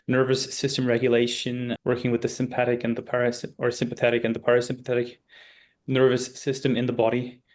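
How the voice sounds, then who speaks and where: A man's voice: medium pitch, darkish tone. A man, a guest speaker, answering questions in a webinar and often searching for his words. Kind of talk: webinar Q&A explanation. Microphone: video call.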